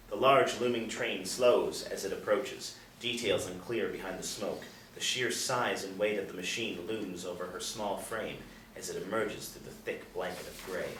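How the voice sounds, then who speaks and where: A man narrating stage directions at a screenplay table read in measured, dramatic storytelling phrases. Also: fairly low pitch, a bit bright. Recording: echoey room, distant, faint noise.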